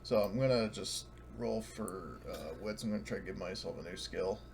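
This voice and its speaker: A man's voice: low-pitched, moderate pace, gravelly, darkish tone. A man chatting casually as a player in a tabletop game. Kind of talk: casual chat. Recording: noticeable background noise, typing sounds.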